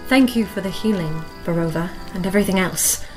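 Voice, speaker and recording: A woman's voice: on the low side, a little fast, music in the background, close to an everyday voice. A woman playing a plucky, kind young spellcaster in a fantasy audio drama. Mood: warm.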